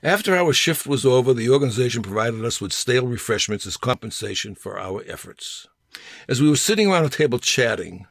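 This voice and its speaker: A man reading his own humorous essay in a deliberate, deadpan manner. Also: mid-range voice, vocal fry, no echo, studio quality.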